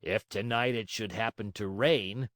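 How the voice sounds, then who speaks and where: A man voicing an angry, stern farmer in a storybook, rough and threatening in manner. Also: lowish voice, measured pace, fairly bright tone, dry recording, clean studio sound. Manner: rough, menacing.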